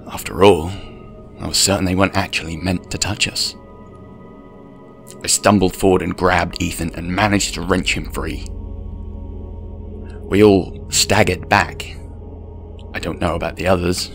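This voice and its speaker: A man narrates a scripted scary story in the first person. His voice is low with a gravelly fry, and music plays clearly behind him. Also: a bit bright.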